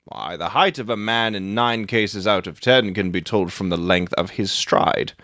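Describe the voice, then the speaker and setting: A man's voice: fairly low pitch, measured pace, fairly bright tone, dry recording, clean studio sound. A man playing a brilliant, proud detective in a dramatic audiobook reading, lecturing in a precise, self-satisfied, matter-of-fact way. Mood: explaining, confident.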